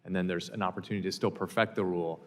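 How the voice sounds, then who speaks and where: A man testifies formally in a low-pitched voice. He talks quickly but stays polite and careful in wording. Long room reverb surrounds his voice. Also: fairly even intonation, a little hiss or hum. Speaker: witness at hearing. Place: hearing room.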